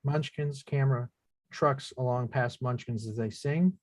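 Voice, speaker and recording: A man's voice: medium pitch, measured pace, no room sound. A man reading screenplay action lines as scripted narration at a table read, plain and factual with small stumbles. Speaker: stage-directions reader.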